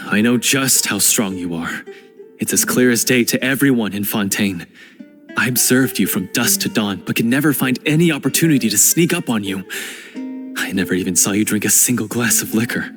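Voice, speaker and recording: A man's voice: fairly low pitch, expressive, normal speed, long pauses, music in the background, lightly acted. A man voicing a remorseful young male hunter in a game cutscene, speaking in an earnest, hesitant, self-reproaching way and stammering at times. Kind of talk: video game cutscene voice acting.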